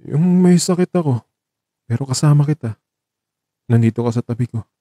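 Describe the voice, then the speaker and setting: A man playing an ailing, tender and teasing man in an audio drama, speaking softly and haltingly with sentiment. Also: medium pitch, no echo, clean studio sound, lightly acted. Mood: gently wistful. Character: ailing young man, tender, teasing, grateful to his companion. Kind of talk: Tagalog audio drama acting.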